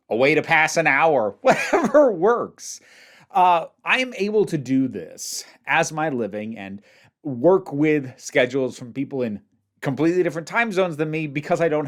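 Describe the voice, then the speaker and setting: A male-sounding host in their thirties, speaking casually and expressively to viewers in a friendly way. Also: medium pitch. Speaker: host, interviewer. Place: indoor room with shelves of toys.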